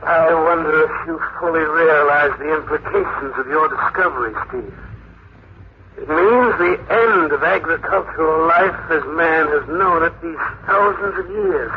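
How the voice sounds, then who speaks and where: Male actor playing older scientist father, higher-pitched, grave, deliberate, 1950s radio drama, narrow tinny sound. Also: close to an everyday voice. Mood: awed, earnest. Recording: tinny, hissy old radio recording.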